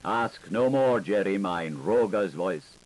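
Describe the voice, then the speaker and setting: A man's voice: low voice, animated, slow, a little mellow. A man narrating a literary audiobook in a theatrical, playful way, with shifting emphasis, on an old tape recording. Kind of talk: literary audiobook reading, dramatic scripted narration. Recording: hissy 1980s audiobook tape.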